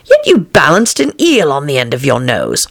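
A woman's voice: low-pitched, wildly swooping pitch, normal speed, creaky, no room sound, studio quality, full character voice. A woman playing an inquisitive, cheeky young man, declaiming questions in storybook verse.

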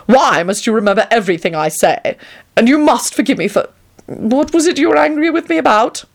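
A woman's voice: a little high, animated, brisk, vocal fry, pitched above the performer's own voice. A woman voicing a fussy, haughty male vampire who talks in a theatrical, sulky way in a storybook.

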